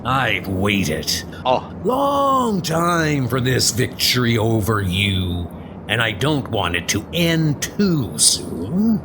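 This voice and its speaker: A man's voice: medium pitch, big pitch swings, takes it slowly, background noise, pitched below the performer's own voice. A man playing a menacing, cruel supervillain brute who gloats and commands in a comic-book dub. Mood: gloating.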